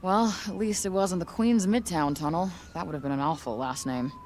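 A woman's voice: lowish voice, a little fast, darkish tone, a little hiss or hum, close to an everyday voice. An actor playing a wry, streetwise young American woman in a video game, muttering to herself with weary, self-mocking informality. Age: young adult. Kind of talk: video game character acting, musing to herself.

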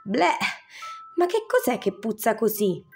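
A woman's voice: on the high side, very animated, a little slow, vocal fry, darkish tone, soft background music, full character voice. A woman voicing a fussy, curious female giraffe in a storybook, prim and squeamish.

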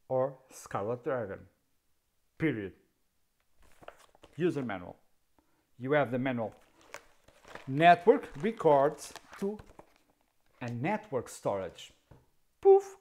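A man in his fifties with a Portuguese accent, a native Portuguese speaker, explaining camera gear in a dry, sarcastic way, seated in a dark studio. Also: mid-range voice. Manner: wry, sarcastic, asks himself questions. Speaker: camera video host. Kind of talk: casual tech explainer.

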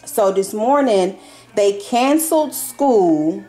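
A woman vlogging from her home kitchen, talking viewers through her groceries in a relaxed, chatty way full of fillers and y'all. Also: medium pitch, slow pace, low-quality recording. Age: adult parent. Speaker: vlogger showing a grocery haul. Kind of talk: casual chatty haul vlog.

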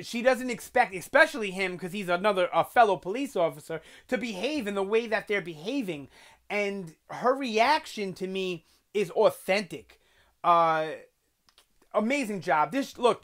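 Young New guy hosting a TV review channel, animated and loose, lots of "um" and "you know", webcam sound. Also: sits high. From New York. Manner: animated, gesturing a lot, chatty with fillers. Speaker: TV review host. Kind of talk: casual video review. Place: small bedroom with collectibles on shelves.

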